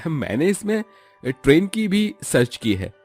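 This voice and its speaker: A man's voice: fairly high pitch, big pitch swings, measured pace, a little mellow, slightly rough, pitched above the performer's own voice, full character voice. A man voicing a polite, earnest young man in a cartoon story, with music behind him. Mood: excited. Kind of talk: Hindi cartoon story acting.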